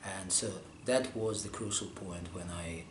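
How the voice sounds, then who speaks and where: A man from Russia, a scholar answering interview questions, pausing often as he searches for his words. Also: sits low. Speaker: scholar interviewed about manuscript studies. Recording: roomy echo, faint background hum.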